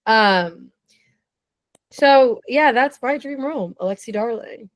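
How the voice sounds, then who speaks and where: A young woman guesting on a podcast, chatting eagerly in a low, raspy voice with plenty of vocal fry. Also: lively intonation, dry recording. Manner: chatty and enthusiastic, quick tangents. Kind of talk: casual chat.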